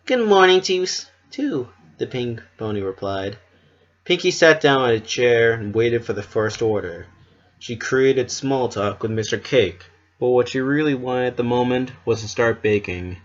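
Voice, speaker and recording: A narrator giving an expressive, measured dramatic reading of a scripted story. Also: medium pitch, lo-fi sound.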